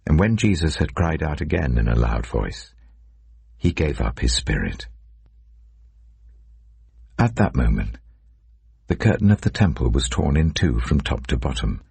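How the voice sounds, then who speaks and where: Male narrator for dramatic scripture audiobook: extremely low, husky voice with animated pitch movement. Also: a little slow, pauses often, no room sound.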